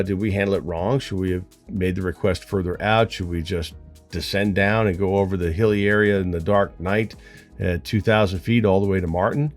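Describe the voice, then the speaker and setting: An American man with a low-pitched voice speaks as a flight instructor hosting his own channel. He tells his story conversationally and earnestly, addressing viewers directly. Faint music sits behind his voice.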